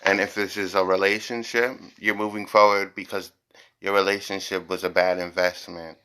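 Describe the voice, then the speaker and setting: A man's voice: deep voice, level tone, normal speed, bright, forceful tone, clean tone, rough recording. A man giving an informal tarot reading to viewers, speaking bluntly and repeating phrases for emphasis. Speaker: tarot reader, host.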